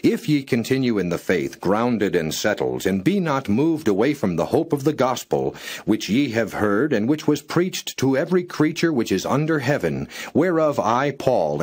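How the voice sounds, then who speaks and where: A man giving a plain, measured reading of scripture, phrase by phrase. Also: mid-range voice, breathy edge. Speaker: scripture reader.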